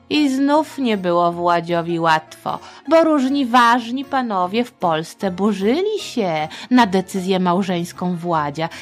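A middle-aged woman narrating history for children in a colloquial, playful way with affectionate diminutives. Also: mid-range voice. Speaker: podcast host and storyteller for children.

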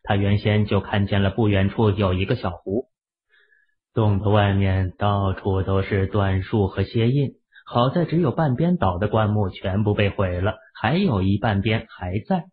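A man narrating a serialized audio drama in an expressive storytelling manner. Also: lowish voice, moderate pace. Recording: tinny phone-like audio.

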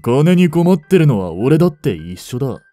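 A man playing a suave, greedy young butler in a romance audio drama, polite and softly menacing in an unhurried way. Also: medium pitch, big pitch swings, dry recording, soft background music, close to an everyday voice. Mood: stern. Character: suave, greedy young male butler turned possessive betrayer.

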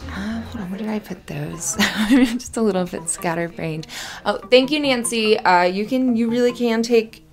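A woman playing a flustered, friendly young café barista in an ASMR roleplay, chatty and halting in a natural conversational way. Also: mid-range voice, natural voice. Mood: friendly, scatterbrained. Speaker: voice actor in audio roleplay.

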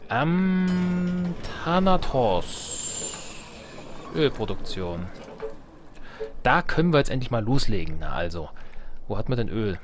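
A man's voice: mid-range voice, big pitch swings, slow, long pauses, background noise. A man gives casual let's play commentary, thinking aloud in a colloquial way with playful asides. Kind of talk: casual game commentary while playing.

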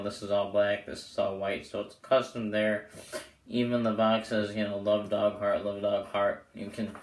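A young man with a hoarse voice giving a casual, rambling product review to camera as the host. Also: on the low side. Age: young adult. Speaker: host of playing card deck reviews. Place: small bedroom, indoors. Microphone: camera mic a short way off. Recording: roomy echo, clear background noise.

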